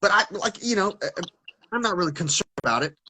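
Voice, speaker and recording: A man's voice: high voice, lively intonation, quick pace, bright, forceful tone, breathy edge. A man talking casually as an interview guest on a video call, stuttering and restarting his sentences. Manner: stutters, many restarts and repeated words.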